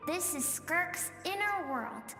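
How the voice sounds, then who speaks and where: Very high echoing mystic guide. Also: female voice, lively intonation, takes it slowly, pushed and bright, full character voice. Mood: calm, knowing. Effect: echoing reverb. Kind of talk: anime video game voice acting. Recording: long echoing reverb, faint music.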